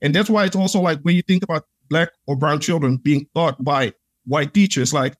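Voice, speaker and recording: A man's voice: a little high, fairly quick. A man, a podcast guest, telling his own experiences in an earnest, reflective way, with many "like" fillers. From Netherlands. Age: adult. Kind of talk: conversational interview storytelling.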